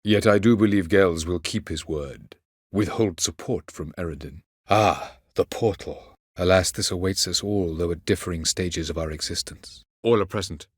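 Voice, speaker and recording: Ancient elf sage, low-pitched, dry close sound, aloof, calculating, formal fantasy game dialogue. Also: male voice, no echo. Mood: dry, measured. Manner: measured, formal, haughty delivery. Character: ancient male elven sage, aloof, condescending, coldly calculating. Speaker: video game character voice actor. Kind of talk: scripted video game dialogue.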